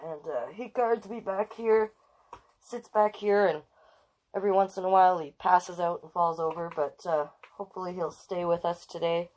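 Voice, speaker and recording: A woman's voice: lowish voice, normal speed, darkish tone. A woman hosting a children's storytime, chatting casually with viewers and reading aloud in an exclaiming, direct way. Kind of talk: casual livestream chat and read-aloud to children.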